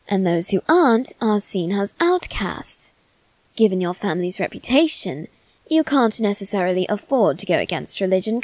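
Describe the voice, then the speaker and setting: A woman voicing a condescending immortal demon boy in an ASMR roleplay, speaking softly with a teasing, smug manner. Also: medium pitch, dry recording. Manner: soft-spoken, teasing and condescending.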